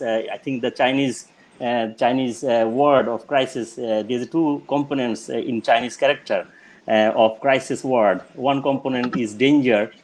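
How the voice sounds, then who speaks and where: A man talks in a casual panel chat at a middle pitch. He hesitates a lot, filling gaps with um and uh and restarting sentences as he thinks aloud. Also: a little mellow. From Bangladesh. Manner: hesitant, many ums and uhs, restarts sentences. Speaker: guest, general practitioner and business mentor.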